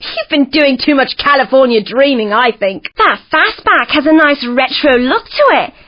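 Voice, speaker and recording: A woman's voice: high-pitched, lively intonation, moderate pace, lo-fi sound. A woman voicing a young London punk in a video game, with a London accent and a sardonic, offhand manner. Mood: teasing. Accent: London English. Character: cheeky, blunt young London punk woman, indifferent and teasing. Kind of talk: scripted video game character quips.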